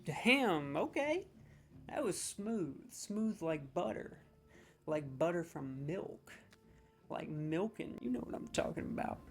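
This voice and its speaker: Man, sarcastic comic judge, mock-serious, exaggerated delivery, soft music underneath. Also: fairly high pitch, big pitch swings, slow. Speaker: comedic judge scoring game voice lines. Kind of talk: scripted comedy commentary.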